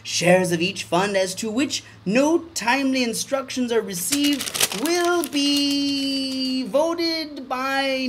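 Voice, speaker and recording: A man giving a mock-dramatic comedic reading of a formal document, with overblown emphasis and deadpan muttered asides. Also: high-pitched, slight background noise.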